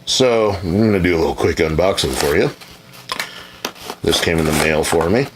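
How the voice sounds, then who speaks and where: Man, very deep voice, laid-back, rambling, casual Q&A, blunt and profane. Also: expressive, moderate pace, a little hiss or hum. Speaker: musician answering viewer questions. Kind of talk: casual Q&A chat.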